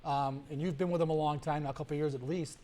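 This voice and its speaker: A man's voice: fairly high pitch, fairly even intonation, quick pace, warm tone. A man hosting sports talk, putting questions to a guest in a conversational interview style.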